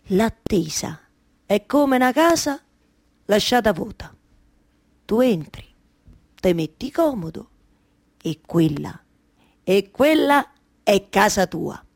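A woman's voice: mid-range voice, darkish tone, heavily acted. An actress playing a melancholy, wry waiting woman in a theatrical monologue, with a Roman Italian accent and repetitive, colloquial storytelling. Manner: incantatory repetition, shifting from declaiming to intimate colloquial storytelling.

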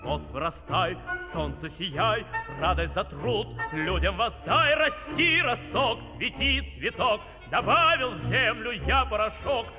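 High-voiced, declamatory professor. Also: male voice, very animated, slow, hardly any pauses, music in the background, exaggerated voice. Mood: exultant incantation. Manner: declamatory, solemn demonstrating. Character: proud, kindly professor and inventor, earnest showman. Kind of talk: theatrical children's opera record acting. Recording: 1970s vinyl record, long reverb.

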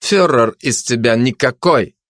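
A man's voice: medium pitch, animated, measured pace, fairly bright tone, no room sound, studio quality. A man playing a haughty, menacing dog in an audiobook, sneering and pompous as he threatens and declares. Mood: contemptuous threat. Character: haughty menacing fascist dog, mocking gang tough.

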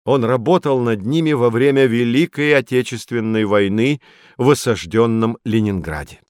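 A man playing a patient, earnest guide who explains things to children with deliberate emphasis in a scripted educational audio drama. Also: medium pitch, clean tone, dry recording, clean studio sound, lightly acted. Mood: explaining, patient.

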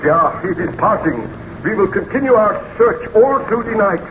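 A man's voice: sits high, expressive, moderate pace. A man acting an anxious, well-bred baron in a 1930s radio serial, with formal, earnest, theatrical diction. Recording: noisy, muffled vintage 1930s radio recording.

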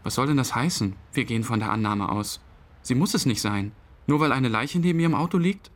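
A bereaved boyfriend played by a male actor, numb and bewildered with a wry edge. He speaks in an average-pitched voice, as if interviewed in a radio play. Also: expressive, fairly quick, lightly acted. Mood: disbelieving. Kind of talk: interview-like radio drama narration.

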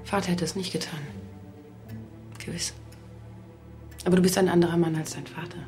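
An actress playing an upper-class sister in a radio drama, coaxing in short, halting, insistent phrases. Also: female voice, fairly low pitch, steady tone, over music, natural voice. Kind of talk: German radio drama acting.

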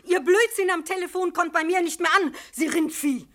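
A woman's voice: extremely high pitch, normal speed, fairly bright tone, a little hiss or hum. An actress playing a cantankerous, suspicious hotel landlady with a blunt, scolding manner in a German radio crime drama. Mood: irritated, scolding. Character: cantankerous, sharp-tongued hotel landlady, suspicious of her tenants.

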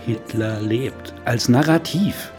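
A man's voice: mid-range voice, slow pace, music in the background, natural voice. A man playing an eager, wry radio journalist with a German accent, arguing and slipping into lecturing in a conversational radio drama. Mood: insistent. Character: eager male radio journalist pitching an absurd idea to his colleague. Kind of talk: conversational radio feature acting.

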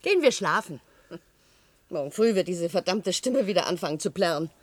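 A muddled, conciliatory housewife in a radio drama. She speaks hesitantly and dreamily, with a widely moving intonation at medium pitch. The recording is dry and intimate. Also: lively intonation, a little fast, no echo. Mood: weary, irritated. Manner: hesitant, musing, placating. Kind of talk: German radio play acting.

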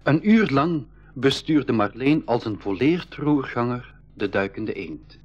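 A man's voice: mid-range voice, animated, takes it slowly, a little mellow. A man playing an eager young boy adventurer who narrates his journey in a school radio drama. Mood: measured, wondering. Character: eager boy adventurer, Marleen's younger brother, telling the tale. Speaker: narrator and character in a radio play. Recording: dull 1980s radio recording.

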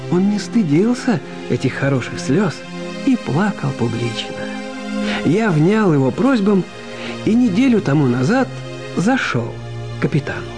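Expressive man narrating, lively pitch. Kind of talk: expressive scripted story narration.

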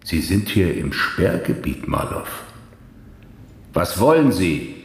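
An actor playing a stern security marshal in a radio drama, speaking curtly and formally. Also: male voice, low voice, big pitch swings, slow pace, heavily acted. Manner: curt, formal. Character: stern male Soviet-style security marshal, curt authority. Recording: echoey hall with long reverb.

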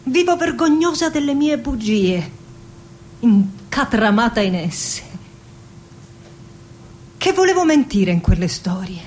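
High voiced woman poet telling childhood memories, theatrical radio drama monologue, playful and confessional. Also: very animated, takes it slowly, pauses often, a little mellow, slight background noise. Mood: confessional, dramatic.

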